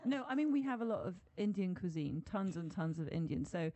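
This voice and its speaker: A woman's voice: lowish voice, expressive, brisk, dark tone. A woman from the UK with a southern English accent, chatting as a guest on a radio talk show in a cheerful, candid way. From UK, born in Wales. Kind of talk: casual talk-show chat.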